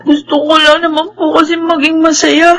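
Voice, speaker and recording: A woman's voice: sits high, little pitch movement, moderate pace, fairly bright tone. An actress in a Filipino radio drama playing a troubled, lonely young woman who is needy and easily tearful. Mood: meek, pleading.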